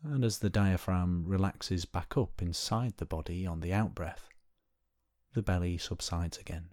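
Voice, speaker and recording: A man gently leading a guided body scan meditation, giving unhurried instructions with pauses. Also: sits low, no room sound, studio quality.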